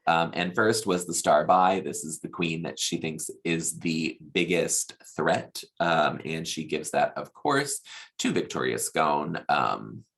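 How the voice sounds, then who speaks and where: Guy with a deep gravelly voice doing a gossipy casual recap, lots of opinions. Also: lively intonation, takes it slowly, a bit bright. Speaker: podcast host reviewing a TV show.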